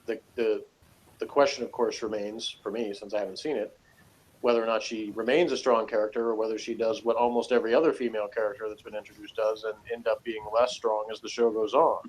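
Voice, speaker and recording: A man's voice: on the low side, flat delivery, quick pace, rough recording. A man talking through a TV review in a casual, analytical way, hesitant and rambling as he works out his points. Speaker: co-host reviewing a TV series.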